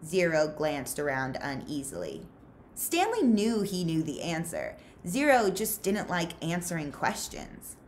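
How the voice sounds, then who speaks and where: A woman reads a children's story aloud as a librarian would. Her voice sits a little low and moves up and down a lot, with slow, deliberate phrasing. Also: a little hiss or hum. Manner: expressive, deliberate. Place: small furnished room indoors.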